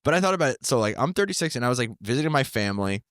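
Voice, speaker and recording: Rambling man in his thirties with a lively voice. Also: mid-range voice, rapid-fire, no echo, clean studio sound. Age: 30s. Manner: rambling, lots of fillers and restarts. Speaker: comedian guest. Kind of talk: casual chat. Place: New York City.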